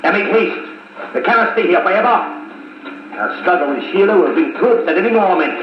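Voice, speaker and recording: An actor playing an urgent enemy ringleader giving commanding orders in a 1950s radio drama. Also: male voice, high voice, expressive, normal speed. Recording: muffled, echoey, noisy 1950s wire recording.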